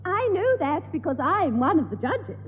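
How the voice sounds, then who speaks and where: A woman's voice: very high voice, very animated, moderate pace. An actress playing a pompous, prim, self-important English society lady with a haughty, formal manner in a 1960s radio sitcom. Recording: tinny hissy 1960s radio, echoey hall.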